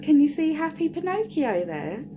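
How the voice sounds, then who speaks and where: A woman's voice: high voice, big pitch swings, moderate pace, background noise. A woman reading a picture book aloud expressively for young children, drawing out key words and speaking to the listeners. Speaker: children's storyteller.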